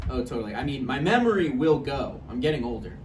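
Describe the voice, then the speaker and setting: A man's voice: mid-range voice, big pitch swings, a little fast, a crowd in the background, lightly acted. A man playing an anxious, insecure, needy boyfriend in a naturalistic play table read, hesitant and pleading. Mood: awkward, wistful. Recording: echoey room, distant mic, faint noise.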